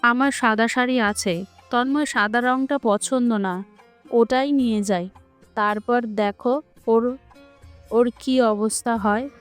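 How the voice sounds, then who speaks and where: High-voiced, chatty big-sisterly young woman, played by a narrator. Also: slow pace, pauses often, clean tone, soft background music. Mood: scheming glee. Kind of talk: dramatized romantic audio story.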